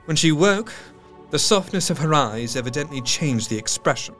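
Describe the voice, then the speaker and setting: An actor playing a careworn Victorian doctor, narrating his diary with formal, measured diction in a gothic audio drama. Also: fairly high pitch, lively intonation, fairly bright tone. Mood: somber. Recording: faint music behind. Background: music.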